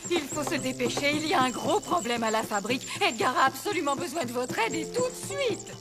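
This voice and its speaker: Excitable high-pitched anxious cartoon helper. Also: female voice, fairly quick. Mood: panicked. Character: excitable, anxious helper at Santa's toy factory. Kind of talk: French animated cartoon dubbing. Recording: loud music under the voice.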